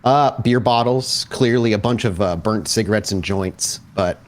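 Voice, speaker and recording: A man with a fairly low voice runs a tabletop roleplaying game. He improvises scene descriptions in detail and talks to the players in an accommodating way. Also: moderate pace. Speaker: game master of a vampire tabletop roleplaying podcast.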